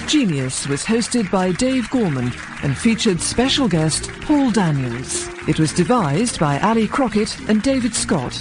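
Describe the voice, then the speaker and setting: A woman's voice: mid-range voice, big pitch swings, unhurried, hardly any pauses. A woman radio announcer reading scripted show credits and introductions. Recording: loud music under voice.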